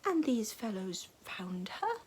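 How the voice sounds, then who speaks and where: A woman voicing a frail, doddering old king who rants, with a quavery, breathy voice. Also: sits high, very animated, measured pace, a little mellow, pitched above the performer's own voice, heavily acted. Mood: doting.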